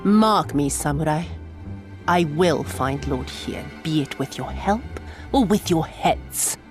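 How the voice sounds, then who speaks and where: A woman's voice: sits low, expressive, takes it slowly, soft background music. A woman playing a cruel, contemptuous military commander in a video game, with a haughty, sneering, theatrical manner. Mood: threatening. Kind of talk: video game villain acting.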